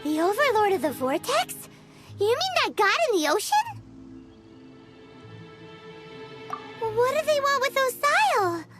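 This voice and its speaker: A woman voicing a tiny, excitable, childlike female fairy companion in a video game, squeaky and full of curious exclamations. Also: moderate pace. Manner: exclamatory, inquisitive, refers to self in third person. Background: game music.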